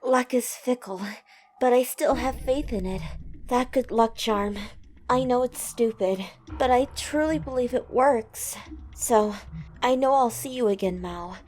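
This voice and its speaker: A woman plays a wistful schoolgirl voicing a farewell letter. Her voice is on the high side and soft. She is earnest and sentimental, in dramatic game acting. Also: measured pace, a little hiss or hum, natural voice. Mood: wistful, hopeful.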